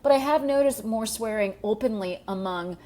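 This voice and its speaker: Quick-talking woman comedy host. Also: fairly high pitch. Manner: quick, animated, swears freely. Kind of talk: casual comedic panel chat.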